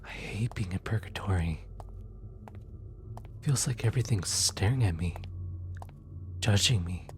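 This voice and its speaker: A performer playing a gentle, awkward demon soul retriever in an ASMR audio roleplay, speaking softly and hesitantly, often close to a whisper. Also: sits low, slight background noise. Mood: uneasy muttering. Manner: hesitant, halting, sighing, muttering to self.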